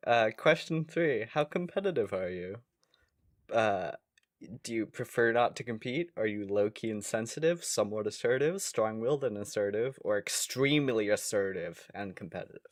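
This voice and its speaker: A man's voice: lowish voice, animated, moderate pace, dry recording, studio quality. Jokey young man, casual podcast co-host. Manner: jokey, self-deprecating. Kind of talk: casual comedic chat, reading quiz questions aloud.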